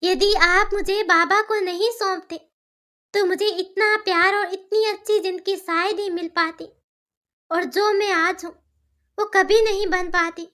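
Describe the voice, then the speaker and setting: Caring, earnest young daughter for cartoon story, woman acting, very high and bright. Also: steady tone, a little slow, exaggerated voice. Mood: apologetic, earnest. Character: caring, headstrong young daughter, emotional and principled.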